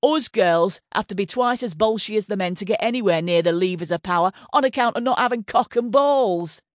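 A woman's voice: medium pitch, fairly quick, no room sound, studio quality, voice pushed up, close to an everyday voice. A woman voicing a blunt, foul-mouthed Northern English female Home Secretary with a swaggering, crude manner. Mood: teasing. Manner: blunt, crude, teasing then menacing.

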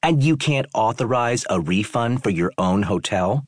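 A man reads a story aloud and lifts his voice into an indignant, blustering vacationer who bullies the front desk. The voice sits well above a low, husky natural register, tight and close with no room sound. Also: expressive, normal speed, no room sound. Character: red-faced angry male tourist, demanding and bullying.

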